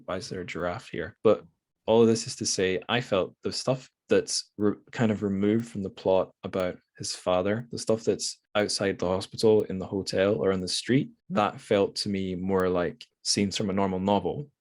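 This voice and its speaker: A man's voice: deep voice, fairly even intonation, normal speed, a little mellow. A man hosting a podcast, talking casually about books in a rambling, hedging, self-deprecating way. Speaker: podcast host. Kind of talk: casual book discussion.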